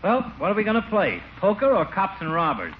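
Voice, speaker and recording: An actor playing a slangy, wisecracking poker buddy in a 1940s radio comedy, breezy and wry. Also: male voice, sits high, wildly swooping pitch, a little fast. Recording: noisy, muffled 1940s radio recording.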